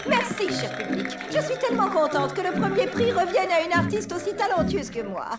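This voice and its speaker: A woman's voice: very high-pitched, big pitch swings, a little fast, roomy sound, distant mic, loud music behind the voice. A woman voicing a vain, scheming female elephant contestant in a cartoon, theatrical and self-satisfied. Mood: smug.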